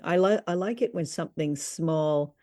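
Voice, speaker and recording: A woman hosting a conversational commentary show, talking in a halting, appreciative way. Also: deep voice, warm tone, no echo, clean studio sound. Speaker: host and commentator.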